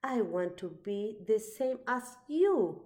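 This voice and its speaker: A woman voicing an eager, bold small child in a read-aloud storybook, with an excitable childlike manner. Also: medium pitch, takes it slowly. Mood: eager, curious. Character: small toddler sibling, eager, adoring and bold.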